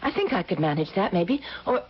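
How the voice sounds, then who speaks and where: An actress playing an earnest, polite and anxious young job seeker in a radio drama, hesitant, apologetic and eager. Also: female voice, medium pitch, sing-song, fairly quick, breathy edge. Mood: anxious, puzzled. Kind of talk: old-time radio drama acting. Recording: muffled, noisy old radio broadcast.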